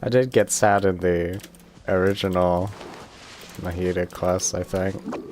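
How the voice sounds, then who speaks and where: A woman giving casual gameplay commentary as a streamer, speaking in a wry, halting manner. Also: extremely low pitch, level tone, a little mellow, a little hiss or hum. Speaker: game streamer. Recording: game music behind.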